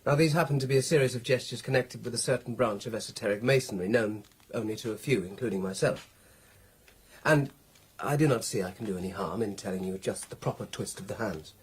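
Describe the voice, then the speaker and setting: An actor playing a sceptical, self-assured British gentleman Freemason in a radio drama, precise and pompous. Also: on the low side, a little fast, slightly rough. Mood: instructive.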